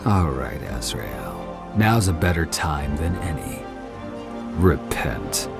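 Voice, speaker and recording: A man playing a menacing, commanding hunter with a gravelly voice in an audio drama. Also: extremely low pitch, big pitch swings, slow, loud music behind the voice, heavily acted. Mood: cold, commanding.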